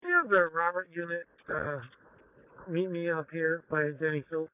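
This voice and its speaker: A man's voice: a little high, fairly even intonation, a little slow, smooth, dry recording. A man, a police officer, making brief calls over a narrow-band radio. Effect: police radio transmission.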